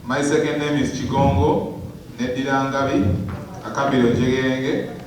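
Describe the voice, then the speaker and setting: A man from Uganda introducing himself slowly and hesitantly at a meeting. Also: mid-range voice, little pitch movement. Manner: slow and hesitant. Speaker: community member introducing himself. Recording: echoey hall from far off, loud crowd.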